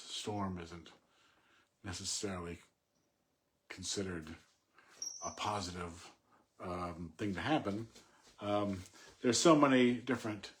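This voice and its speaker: A man with a fairly low voice talks very slowly as he works through a poem. His delivery is halting and reflective, in short phrases with pauses, like informal commentary thought out aloud. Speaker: poetry commentator.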